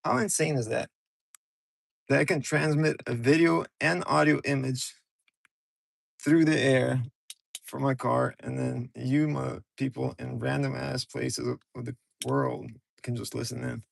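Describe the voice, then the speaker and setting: A man's voice: mid-range voice, steady tone, unhurried, creaky, dry recording, poor audio. A man from Ukraine hosting a casual, rambling livestream from his car on his phone, talking loose and slangy straight to his viewers. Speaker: livestream host.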